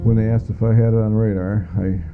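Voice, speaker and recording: A middle-aged American man giving personal testimony as a witness, plain-spoken and halting as he recounts it. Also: fairly low pitch, flat delivery. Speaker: witness, former air traffic controller. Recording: muffled phone-like audio with faint music.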